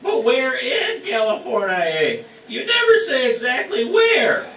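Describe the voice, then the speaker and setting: A man's voice: extremely high pitch, wildly swooping pitch, a little slow, pushed and bright, recorded from a distance, a little hiss or hum, heavily acted. A man playing a panicky, whiny pioneer in a radio-play parody on a stage. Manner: whining, melodramatic wailing. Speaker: amateur stage actor. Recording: roomy stage from afar, audience laughter.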